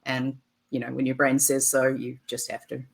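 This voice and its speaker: A woman's voice: a bass voice, completely flat delivery, moderate pace, creaky. A woman, a game designer chatting casually in an interview, with lots of "um" fillers and easy laughter. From New Zealand.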